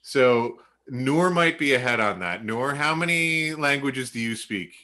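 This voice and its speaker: Male host in his thirties from Canada, bright tone, rambling, self-deprecating. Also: medium pitch, animated, measured pace. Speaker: podcast host. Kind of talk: casual podcast chat.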